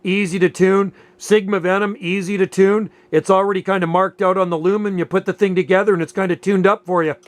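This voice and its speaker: Guy late 50s, pretty high smooth voice, easygoing folksy radio chat. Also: level tone, brisk, hardly any pauses. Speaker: amateur radio operator and channel host. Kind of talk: casual two-way radio chat.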